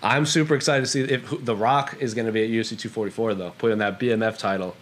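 A man's voice: lowish voice, steady tone, fast talker. A man co-hosting a mixed martial arts show, casually fielding fan questions and chatting about the sport. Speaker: co-host of an MMA Q&A show.

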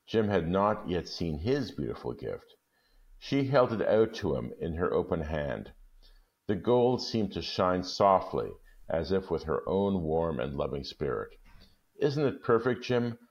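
A male story reader with a very low, creaky voice. He speaks expressively, with wide swings of pitch. The recording is slightly muffled. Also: very animated, unhurried, darkish tone. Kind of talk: expressive literary storytelling and talk.

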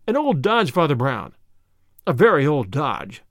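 Man acting a big show-off master thief, mocking, theatrical, pitch jumping all over, storybook villain. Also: big pitch swings, brisk, dry recording, studio quality. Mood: gleeful gloating. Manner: theatrical, taunting, exclamatory. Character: flamboyant, gloating giant master thief, male, boastful and mocking.

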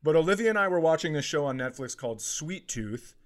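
A man's voice: a little high, expressive, a little fast. A man co-hosting a comedy podcast, riffing casually with sarcastic, exasperated humour. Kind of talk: casual comic chat.

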